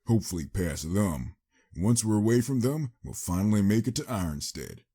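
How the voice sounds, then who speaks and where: Man as a deep, urgent protective stallion. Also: sing-song, normal speed, slightly rough, full character voice. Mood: hopeful. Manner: hushed, urgent. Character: protective, fearful brave stallion, urgent and reassuring.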